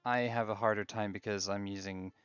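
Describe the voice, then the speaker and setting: A man hosting a casual game stream, chatting in a relaxed way while fiddling with his setup. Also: on the low side, a little fast, clean tone, no room sound, muffled recording, soft background music. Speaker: streamer host.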